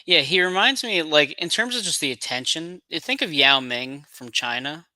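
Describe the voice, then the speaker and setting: Bright, sharp-voiced animated man. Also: fairly high pitch, moderate pace, dry recording, poor audio. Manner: animated, emphatic, many "like" and "you know". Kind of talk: casual sports chat. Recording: dry close mic.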